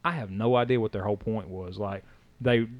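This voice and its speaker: A man's voice: fairly low pitch, steady tone, fairly quick, no room sound, dull audio. A man co-hosting a podcast, chatting casually in a rambling way with many restarts. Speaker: podcast co-host. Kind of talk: casual chat.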